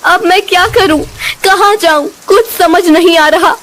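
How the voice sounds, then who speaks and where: A woman's voice: extremely high pitch, unhurried, a bit bright, pitched above the performer's own voice. A woman playing a plaintive, submissive young queen in a cartoon story, with dramatic delivery. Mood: distressed. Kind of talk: acted Hindi moral cartoon story.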